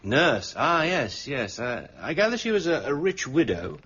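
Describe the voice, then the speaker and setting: A confident defence barrister in a radio drama, eager to reassure and always probing. His delivery is theatrical and lively in pitch, with a slightly rough edge to the voice. The recording is dull and muffled. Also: unhurried, full character voice. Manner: probing, theatrical, self-assured.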